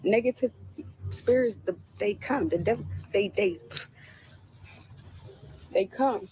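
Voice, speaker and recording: A woman's voice: mid-range voice, slow, mellow, a little hiss or hum, poor audio. A woman in a played clip, talking casually to the camera in a defiant, preachy manner about faith and spirits.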